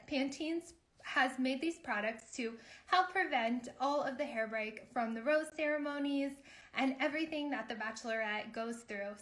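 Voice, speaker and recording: High-voiced woman hosting an interview show. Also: fairly bright tone. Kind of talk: live online interview, scripted sponsor read then casual chat.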